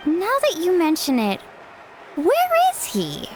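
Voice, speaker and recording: Wide-eyed game youngster: extremely high, very slow, exclaiming, loud music behind. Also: female voice, huge pitch swings, very noisy, heavily acted. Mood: puzzled. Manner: drawn-out exclamations. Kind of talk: animated video game voice acting.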